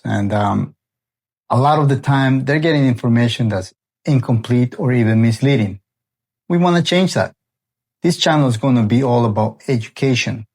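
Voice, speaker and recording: A man's voice: mid-range voice, gravelly, a little mellow, slightly rough. A man in his forties from El Salvador, a renovation company founder, introducing his channel in an earnest, friendly way.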